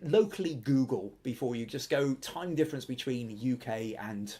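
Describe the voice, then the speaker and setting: A man's voice: mid-range voice, lively intonation, normal speed, mellow. A man hosting a live-streamed photography show, chatting to viewers with many fillers and poking fun at himself. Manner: chatty, self-deprecating, lots of fillers. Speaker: photography podcast host.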